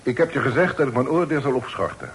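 A man's voice: mid-range voice, big pitch swings, fast. An actor playing a stern, commanding father in a radio play, formal and firm. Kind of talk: old Dutch radio play acting. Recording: old radio recording with faint noise.

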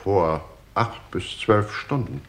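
Clinical police doctor, roomy sound. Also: male voice, lowish voice, animated, slow, creaky, a bit bright, full character voice. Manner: clinical, precise. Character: matter-of-fact police doctor at a murder scene. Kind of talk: 1960s German radio crime drama acting. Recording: roomy, faintly noisy 1960s radio recording.

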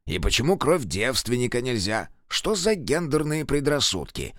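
A man's voice: mid-range voice, very animated, normal speed, fairly bright tone, no echo, studio quality. Guy doing a crude bossy old grandad for a comic audiobook, pushy and scheming, swings up and down. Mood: indignant. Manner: crude, commanding, wheedling.

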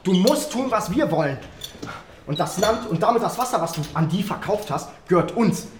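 A man's voice: high voice, lively intonation, normal speed, slight background noise. An actor playing an idealistic, defiant young man, a politician's activist son, in a radio play. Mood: accusing. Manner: earnest, defiant. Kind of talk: German radio play acting.

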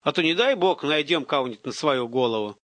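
A rough young guard played by a male reader in an audiobook story. He is rude and stubborn, grumbling in a streetwise drawl at a slightly raised pitch. The sound is dry. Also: animated, a little fast, fairly bright tone, dry recording. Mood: dismissive.

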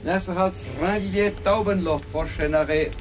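An actor playing a polite bilingual train conductor in a radio play, making routine announcements. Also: male voice, high voice, fairly even intonation, moderate pace, music in the background, close to an everyday voice.

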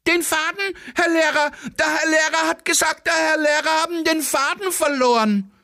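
A man's voice: extremely high pitch, steady tone, normal speed, gravelly, pushed and bright, no room sound, clean studio sound, pitched above the performer's own voice, full character voice. A man voicing whining, tattling Viennese schoolboys in a satirical radio play. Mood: eager.

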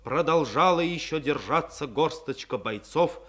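A man narrating a 1950s Soviet war radio play in a solemn, measured, scripted dramatic style. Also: fairly high pitch, animated, a bit bright.

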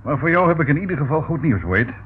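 An actor playing a brisk, commanding male police chief in a Dutch radio play, authoritative yet courteous. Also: fairly high pitch, big pitch swings. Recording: tinny, band-limited old radio recording with noise.

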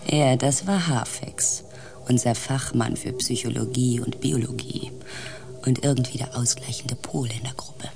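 An actress playing a thoughtful spaceship team coordinator who narrates a radio drama in a reflective manner. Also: female voice, a bass voice, unhurried, gravelly, noisy background, exaggerated voice.

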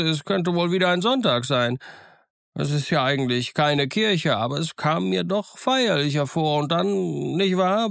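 A man's voice: high voice, gravelly, fairly bright tone, no echo, studio quality, voice pushed up, exaggerated voice. A man voicing an eccentric old marooned sailor who rambles on insistently. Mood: chattering. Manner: rambling, insistent, winking confidentially.

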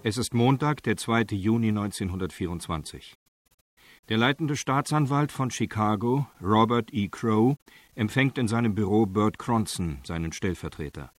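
A man narrates a scripted crime drama. His voice is low and recorded close and dry. He sets each scene in a factual, reporting manner. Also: normal speed, no room sound.

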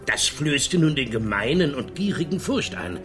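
An actor playing a bumbling storyteller in a comic radio play, deliberate and clumsy with words. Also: male voice, medium pitch, very animated, natural voice. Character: bumbling male storyteller garbling his words. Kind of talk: scripted comic radio narration. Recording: music under the voice.

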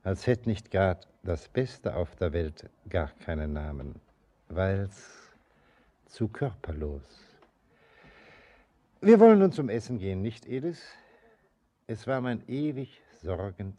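A man's voice: sits low, big pitch swings, takes it slowly, pauses often, mellow, exaggerated voice. An actor playing a brooding, sentimental ageing father and mine master in a German radio play, declamatory and lyrical. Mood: brooding, tender.

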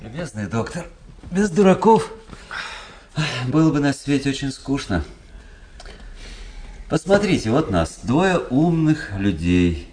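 A bored, ironic young gentleman trades wit in a radio play. His medium-pitched voice swings widely in intonation, with wry, lingering phrase endings. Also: big pitch swings, slow, long pauses, a little hiss or hum. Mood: wry, languid. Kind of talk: theatrical radio drama dialogue.

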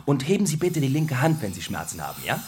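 A man's voice: mid-range voice, wildly swooping pitch, fast. An actor playing a chatty, matter-of-fact male dentist who blithely lectures a patient in a radio drama. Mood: breezy, lecturing. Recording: clear background noise.

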